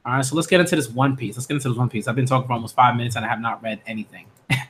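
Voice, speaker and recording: A man's voice: medium pitch, racing, fairly bright tone, a little hiss or hum. A man hosting a manga reaction video, chatting casually with lots of fillers and self-corrections. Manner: stumbles when reading names aloud. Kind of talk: casual live reaction chat.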